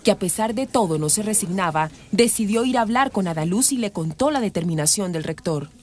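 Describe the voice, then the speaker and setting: A woman's voice: medium pitch, lively intonation, fairly quick, a little mellow, soft background music. A woman with a Colombian accent reading scripted narration for a radio drama.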